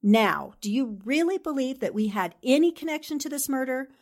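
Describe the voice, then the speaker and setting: A woman acts out a determined lady reporter from a 1940s radio mystery. Her voice sits fairly high and moves with lively swings. She is brisk, sharp and commanding. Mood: firm, persuasive. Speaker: actor in an amateur radio drama re-creation.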